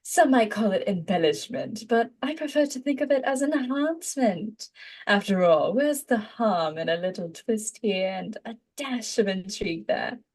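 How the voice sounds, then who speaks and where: A woman playing a gossipy, self-satisfied witch journalist, drawling with dramatic pauses and changes of tempo. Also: medium pitch, lively intonation, darkish tone. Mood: sly, coy.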